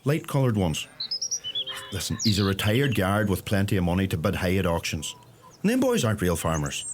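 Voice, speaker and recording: A man's voice: sits low, big pitch swings, brisk, background noise. A man playing a droll, easily flustered young farmer with a Donegal Irish accent in a naturalistic radio play, birdsong around him. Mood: wry, confiding. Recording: outdoor ambience with loud birdsong.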